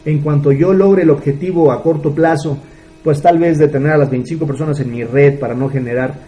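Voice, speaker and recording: Mexican man in his 30s, motivational mentor, mellow warm tone, slightly high voice, faint hiss behind. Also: fairly even intonation, brisk, poor audio. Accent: Mexican Spanish. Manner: earnest, coaching, asks rhetorical questions. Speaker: business mentor. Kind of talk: motivational talk.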